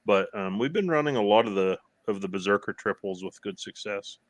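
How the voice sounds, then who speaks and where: A man talking as a guest in a casual online chat, relaxed, with dry humor and hesitant fillers. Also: fairly low pitch, fairly quick, no echo. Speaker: guest from a parts company. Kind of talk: casual video-call chat.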